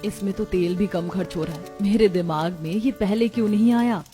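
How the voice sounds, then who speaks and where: A woman's voice: medium pitch, moderate pace, warm tone, close to an everyday voice. A woman playing caring, earnest women of a poor family and neighbourhood in a children's cartoon. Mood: worried, thoughtful. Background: music.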